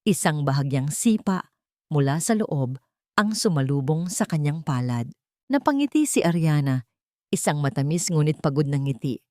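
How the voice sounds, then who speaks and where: A woman's voice: deep voice, very animated, normal speed, mellow, no echo, studio quality. A woman with a Filipino accent narrating a dramatic romance story from a script as a storyteller.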